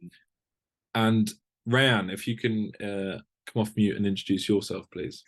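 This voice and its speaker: A man's voice: on the low side, fairly even intonation, a little slow. A man moderating an online panel, politely handing over to guests in slightly hesitant phrases. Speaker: host, moderator. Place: online video call.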